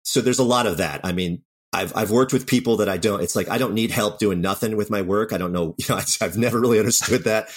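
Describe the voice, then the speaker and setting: A man's voice: on the low side, fast talker, breathy edge. A man talking casually and candidly as an interview guest, with a self-deprecating way about him. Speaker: writer-director of audio dramas, interview guest.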